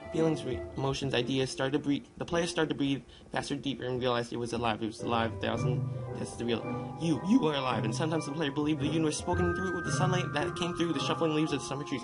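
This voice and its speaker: A man's voice: fairly high pitch, poor audio. A man doing casual amateur narration for a gaming video, informal and often stumbling over words and names. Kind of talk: casual read-aloud narration with loose asides. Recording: music playing behind the voice. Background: loud instrumental music.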